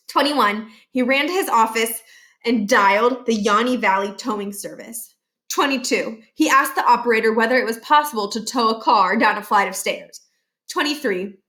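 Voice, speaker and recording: A woman with a fairly high voice narrates a children's novel. She reads aloud expressively, as a storybook reader. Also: fairly bright tone.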